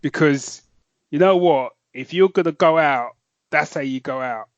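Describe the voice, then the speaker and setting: A man's voice: fairly high pitch, measured pace, no room sound, highs cut off. A man co-hosting a podcast, chatting with rambling enthusiasm and a lot of "man". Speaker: podcast co-host. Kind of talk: casual chat.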